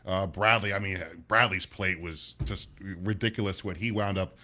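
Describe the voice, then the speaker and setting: A middle-aged man hosting a casual sports talk show, his voice a little raspy, rambling with many restarts and sounding sluggish. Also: low-pitched, fairly even intonation, normal speed, pushed and bright. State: sluggish, low energy. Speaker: sports radio host.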